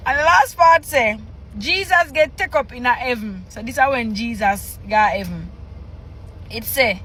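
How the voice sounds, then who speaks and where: A woman's voice: high voice, fairly bright tone, a little hiss or hum, low-quality recording. A Jamaican woman reacting to a text and reading it aloud, incredulous and laughing, repeating herself for emphasis. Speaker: reaction video host.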